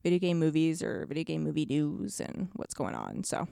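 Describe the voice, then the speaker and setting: A woman's voice: low voice, wildly swooping pitch, normal speed, creaky, warm tone, dry recording, studio quality. A woman chatting casually as a podcast guest, speaking effusively and with emphasis about what she likes. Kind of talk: casual chat.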